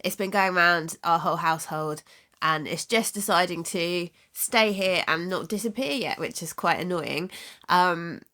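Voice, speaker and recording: A woman with a cold, sounding bunged up and a bit hoarse, chatting casually as host of her own book channel. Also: on the low side, moderate pace, bright, forceful tone. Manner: chatty, rambling, with frequent restarts and fillers.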